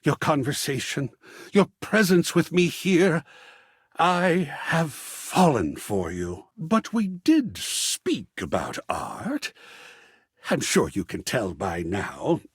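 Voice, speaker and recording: Game role: effusive, art-obsessed man. Husky, florid and dramatic, hugely varied pitch, very dry close recording. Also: sing-song, slow pace, no room sound, full character voice. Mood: tender, hopeful. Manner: florid, dramatic, formal phrasing. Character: effusive, sensitive, theatrical male artist, an art-obsessed optimist. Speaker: voice actor playing a video game character. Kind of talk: theatrical video game character dialogue.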